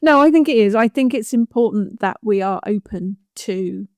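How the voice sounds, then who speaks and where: A woman hosting a podcast, chatty and quick to laugh as she asks questions. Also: mid-range voice, lively intonation, dry recording, clean studio sound. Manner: warm, chatty, laughs easily. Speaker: podcast host. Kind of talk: casual interview talk.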